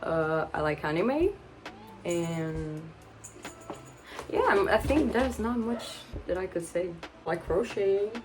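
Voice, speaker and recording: 20s portuguese girl chatting about her stuff, awkward, rambly, lowish voice. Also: lively intonation, takes it slowly, music in the background. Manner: awkward, rambling, laughs often. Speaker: book vlogger. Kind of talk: casual chat to camera. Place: home with pets around.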